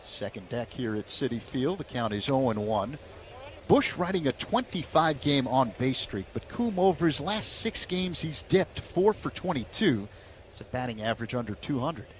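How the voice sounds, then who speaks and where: A man calling baseball play-by-play on the radio in a steady, measured way. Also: medium pitch, a little hiss or hum.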